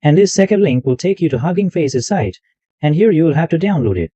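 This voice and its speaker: A man hosting a tech tutorial, walking through software step by step and repeating himself as he goes. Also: fairly high pitch, animated, a little fast, dry recording, dull audio. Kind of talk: screen-recorded software walkthrough.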